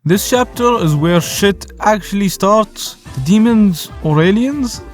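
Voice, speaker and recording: A man's voice: high voice, animated, measured pace. A man whose first language is Arabic, narrating a scripted review in a casual, joking way as the host. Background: faint music.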